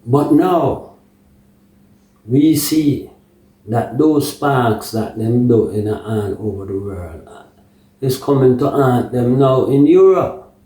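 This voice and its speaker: A Jamaican man delivers unscripted political commentary at a slow, halting pace. His voice is lowish and mellow, with patois turns of phrase and rhetorical check-ins. He sounds recorded in an echoey room, away from the microphone. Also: animated, far from the mic.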